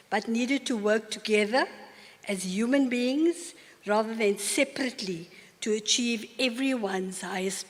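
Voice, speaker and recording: An older woman with a South African accent giving a formal acceptance speech in a large university hall, measured and earnest. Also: on the high side. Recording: long reverb of a large hall.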